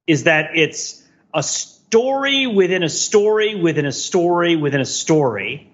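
Higher-voiced man, wordy film talk. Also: very animated, a little slow, lo-fi sound. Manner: wordy, thinking aloud with frequent repeats and "right?" tags. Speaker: podcast co-host. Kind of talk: casual film discussion, analytical.

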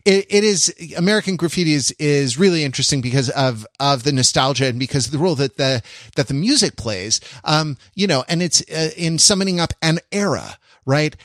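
A man hosting a podcast in a casual conversational style, thinking aloud in a halting, rambling and reflective way. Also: on the high side, lively intonation, a bit bright, no echo, studio quality. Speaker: podcast host.

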